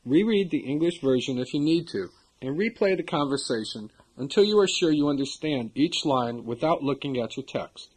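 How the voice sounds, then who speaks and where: A man narrating a language course in a measured, formal instructional manner. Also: on the high side, expressive, darkish tone, husky, poor audio.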